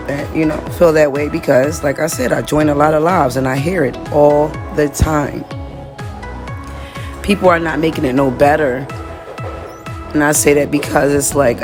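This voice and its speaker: A woman from the United States with a raspy, slightly hoarse voice, talking straight to her viewers in a casual diary-style monologue. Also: low voice. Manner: chatty, direct, addresses viewers as y'all. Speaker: vlogger.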